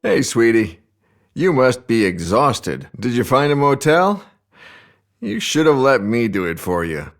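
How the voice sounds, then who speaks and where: A man's voice: medium pitch, sing-song, unhurried. An actor playing an affectionate, nosy man with a casual, hesitant, coaxing manner in a video game scene. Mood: warm concern. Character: affectionate, cajoling man, caring but nosy. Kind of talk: naturalistic video game cutscene acting.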